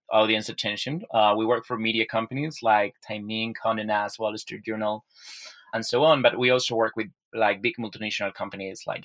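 Spanish-accented man, bright and halting. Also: lowish voice. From Spain. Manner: halting, with repeated restarts. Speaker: guest, software sales executive.

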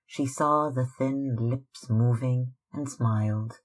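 A man reading literary fiction aloud as narrator, in a measured, dramatic way. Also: mid-range voice. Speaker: audiobook reader.

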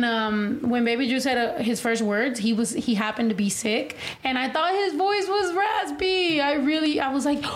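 A young woman in her twenties chatting casually on a livestream, laughing often and acting out quotes. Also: sits high. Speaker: co-host. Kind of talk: casual chat. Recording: faint background noise.

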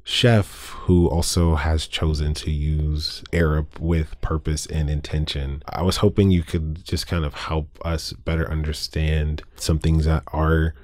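A man asks a guest a careful question in a very low voice. He speaks slowly and deliberately. The sound is dry and close. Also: little pitch movement, a little mellow, no echo. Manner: measured, carefully phrased. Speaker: interviewer. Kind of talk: interview question.

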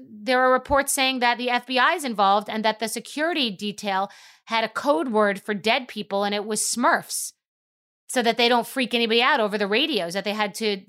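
A woman's voice: a little high, a bit bright. A woman hosting a podcast, talking emphatically in a casual monologue and rising to incredulous outbursts. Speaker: podcast host.

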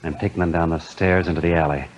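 An actor in a 1950s radio drama playing a menacing, defiant crook with a gun, barking threats and orders. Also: male voice, low voice, fairly even intonation, quick pace, low-quality recording. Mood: cold, commanding. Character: menacing gunman and drug-ring crook, defiant, commanding.

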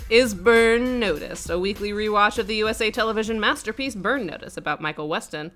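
A woman co-hosting a podcast in playful, mock-dramatic banter, her register deepened by a cough and pushed out a little like a radio DJ. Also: fairly quick, a bit bright. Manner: radio DJ-style projection, powering through sentences. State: has a cough. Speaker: podcast co-host. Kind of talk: casual comedic podcast banter.

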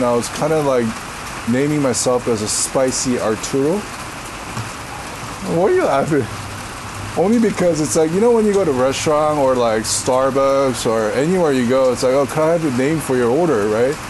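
A man from Korea with a deep voice, answering interview questions in a rambling, casual way with many fillers. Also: noisy background. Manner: rambling, filler-heavy, laughs easily. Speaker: interview guest.

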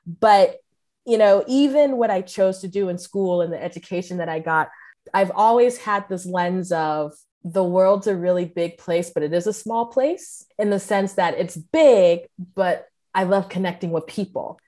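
A woman's voice: fairly low pitch, moderate pace, mellow. A woman in her thirties with an American accent, chatting as a podcast guest, laughing often and poking fun at herself. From United States and Cameroon. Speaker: podcast guest, career counselor. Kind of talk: casual interview conversation.

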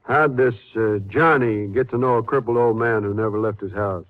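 An actor playing a blunt, skeptical, folksy small-town sheriff in a 1950s radio western. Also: male voice, fairly low pitch, steady tone, normal speed, natural voice. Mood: blunt, pressing. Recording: tinny, noisy 1950s radio recording.

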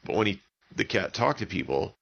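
Deep-voiced man telling kids' bedtime stories. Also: very animated, brisk, creaky, lo-fi sound. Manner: simple, repetitive wording for young children. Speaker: children's story podcast narrator. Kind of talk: improvised bedtime storytelling for kids.

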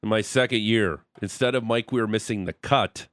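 Male radio host, Ontario accent, low and chatty, close dry studio mic. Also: moderate pace, fairly bright tone, dry recording. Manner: chatty, self-mocking. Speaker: radio sports show host. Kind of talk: casual radio banter.

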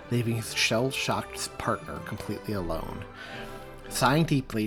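A man, the author himself, reading a chapter of his novel aloud in a steady, measured way. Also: lowish voice. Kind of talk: audiobook narration.

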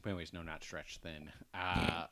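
A man's voice: deep voice, little pitch movement, moderate pace, pushed and bright. A man co-hosting a casual chat, rambling along with lots of fillers and restarts.

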